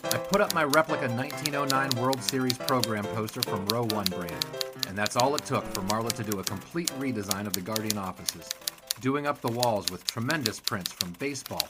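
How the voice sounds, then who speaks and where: An actor playing a genial newspaper sports writer reminiscing, in a scripted advert styled as audio drama. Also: male voice, mid-range voice, lively intonation, a little fast, lightly acted. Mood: genial, nostalgic. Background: music and typewriter.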